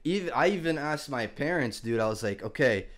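A man's voice: medium pitch, lively intonation, fairly quick, a bit bright. Laid-back young man saying dude and bro. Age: young adult. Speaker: podcast host. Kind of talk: casual interview chat. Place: small room at home. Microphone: headset on a video call.

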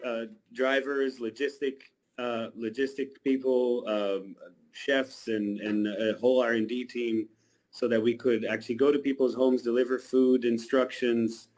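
A middle-aged man giving a reflective interview answer as a guest, speaking in a halting, hesitant way over a video call. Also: medium pitch, a little mellow. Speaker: restaurant chef-owner, guest. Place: small home room indoors, video call.

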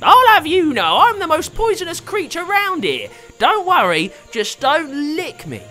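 A man squeaks out a cocky little tree frog from a children's tale, his voice pushed very high and loud. Light music plays faintly behind. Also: wildly swooping pitch, unhurried, heavily acted. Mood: boastful. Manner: boastful, cocky. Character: tiny boastful male tree frog.

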